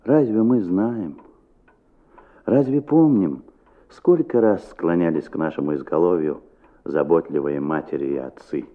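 A man's voice: low-pitched, measured pace, narrow, tinny audio. A man acting as the reflective narrator of a children's radio play, telling the tale expressively. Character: warm reflective male storyteller of a children's tale.

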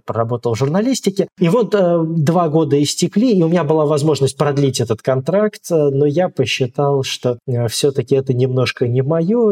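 A man of ordinary pitch tells his story as an interviewee. He speaks hesitantly and pauses often to fill gaps. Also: darkish tone. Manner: hesitant, with many filler pauses.

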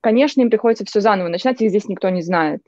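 Mellow young woman guest, dull dry sound. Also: mid-range voice, racing, no echo. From Russia. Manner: chatty, anecdotal, frequent restarts. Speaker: guest, journalist. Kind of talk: casual interview chat.

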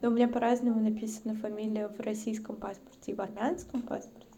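A woman's voice: medium pitch, little pitch movement, fast talker, creaky, dark tone. A woman from Russia's North Caucasus, speaking as a podcast guest in a reflective, casual chat.

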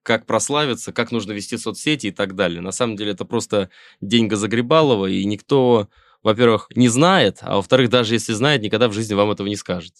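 A man's voice: lowish voice, fast. A middle-aged Russian man, a musician guest in a casual interview, talking wryly in rambling sentences with many fillers and restarts.

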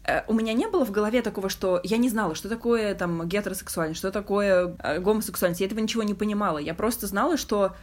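A young woman with a mid-pitched voice talks as a podcast host. She is frank and reflective, keeping it conversational and often searching for the right phrase. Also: quick pace, few pauses. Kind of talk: candid casual conversation.

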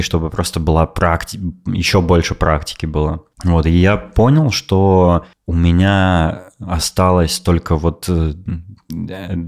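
A young man with a very deep voice talks in a loose, relaxed podcast chat. He rambles, stops to hesitate and often restarts his sentences. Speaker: podcast co-host. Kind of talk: casual chat.

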